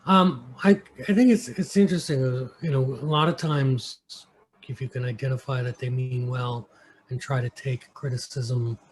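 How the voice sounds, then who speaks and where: A man from New York with an American accent, an interview guest telling stories casually and haltingly, sometimes switching between English and Mandarin. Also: medium pitch, fairly even intonation, poor audio. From New York, United States. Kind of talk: casual conversational storytelling. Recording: clear background noise.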